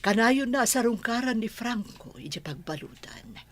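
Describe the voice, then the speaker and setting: A woman's voice: a little high, big pitch swings. A woman playing a tender, sorrowful middle-aged mother of grown sons in an Ilocano radio drama. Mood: tender, sad. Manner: slow, tender, emotive.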